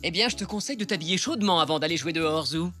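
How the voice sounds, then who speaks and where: A man's voice: a little high, lively intonation, racing, music in the background, close to an everyday voice. A man voicing a cheerful, good-natured adult zebra dad in a French preschool cartoon, upbeat and encouraging.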